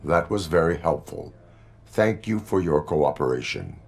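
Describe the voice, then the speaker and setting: A part-man, part-machine police officer from a video game. The voice is extremely deep and heavy with gravel. He questions people in short, formal phrases with stoic control. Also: a little slow, background noise, full character voice. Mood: stoic, businesslike. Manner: clipped, formal, flat questioning.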